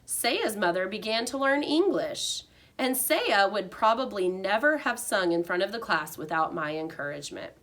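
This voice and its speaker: A woman reading a children's storybook aloud like a teacher, with expressive narration. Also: mid-range voice, moderate pace.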